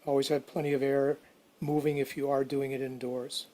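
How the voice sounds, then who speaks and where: Man with an even, barely varying pitch. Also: normal speed, poor audio. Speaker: DIY restoration video narrator. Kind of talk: casual how-to narration.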